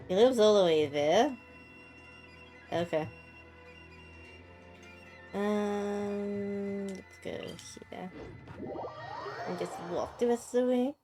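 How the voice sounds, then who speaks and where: A woman streaming a video game, commenting casually and thinking aloud in a relaxed way. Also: medium pitch, very slow, long pauses. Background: game music.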